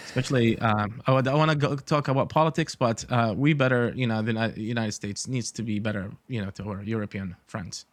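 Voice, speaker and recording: A man's voice: medium pitch, fairly even intonation, brisk, hardly any pauses. A man from Iraq, an architect in his forties, chatting as a podcast guest, with many fillers and dry humor. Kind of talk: casual interview talk.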